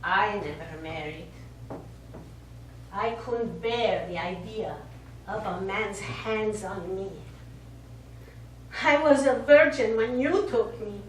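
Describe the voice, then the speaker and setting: An actress on stage playing a middle-aged Italian war survivor, bitter and wounded, speaking deliberately and accusingly. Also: female voice, medium pitch, lightly acted. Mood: hurt. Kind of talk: stage play acting. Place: small theatre stage. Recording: echoey theatre stage, distant mic, faint noise.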